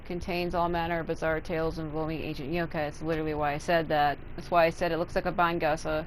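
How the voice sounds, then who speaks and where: A woman's voice: deep voice, slight background noise, low-quality recording. A woman giving casual, rambling let's play commentary, with some swearing. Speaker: gaming streamer. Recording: game music behind the voice.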